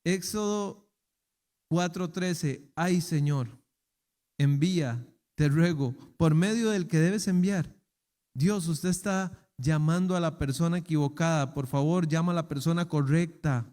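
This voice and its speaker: Pastor guy preaching, kinda high voice, lots of rhetorical questions, big echoey church hall. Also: measured pace, mellow. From Costa Rica. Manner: preaching with rhetorical questions, voicing imagined excuses. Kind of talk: sermon. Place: church chapel. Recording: long reverb of a church hall.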